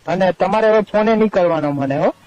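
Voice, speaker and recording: A man's voice: sits high, fairly quick, a little mellow, no room sound, natural voice. A man playing a nervous, dismissive young lover who wants out, speaking colloquially in a staged phone-call drama. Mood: curt, worried. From India. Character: curt, nervous young lover washing his hands of her. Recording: dull lo-fi phone call recording.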